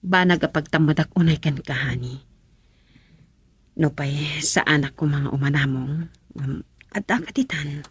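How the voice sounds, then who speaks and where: An actress in an Ilocano radio drama playing the governor's concerned mother, who speaks softly and asks questions. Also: sits low, a little slow, long pauses, slightly rough, no echo, exaggerated voice. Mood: worried.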